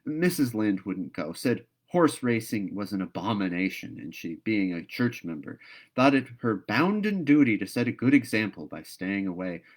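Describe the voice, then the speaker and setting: Man reading as an excitable, gushing young girl. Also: on the low side, moderate pace. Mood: earnest, chatty. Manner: gushing, wordy, earnest. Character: excitable, talkative young girl, dreamy and earnest. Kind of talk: storybook read-aloud.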